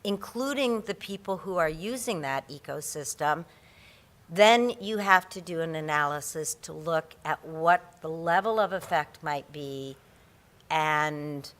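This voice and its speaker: Casting: low, bright-toned woman instructor; chatty, self-deprecating, restarts a lot; mic in a big conference room. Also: a little slow. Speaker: environmental law instructor. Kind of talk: conference presentation. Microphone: handheld or podium microphone.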